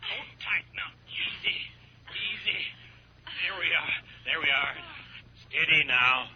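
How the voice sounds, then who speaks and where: An actor playing a young, plucky spaceship crewman, brisk, plain-spoken and wry, in mid-century radio serial style. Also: a little high, lively intonation, breathy edge, heavily acted. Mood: steadying. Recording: muffled old radio recording, faint noise.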